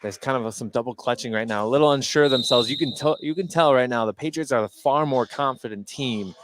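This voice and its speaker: Male color commentator, medium pitch, conversational and halting with repeated words, live gym broadcast with light background noise. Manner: halting, repeats words while forming points. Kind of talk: live conversational sports analysis. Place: indoor basketball gym.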